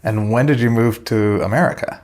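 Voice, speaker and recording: A man's voice: mid-range voice, a little fast, a little mellow. A man hosting an interview, asking curious questions and reacting with short interjections. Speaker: interviewer, host. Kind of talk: casual interview conversation.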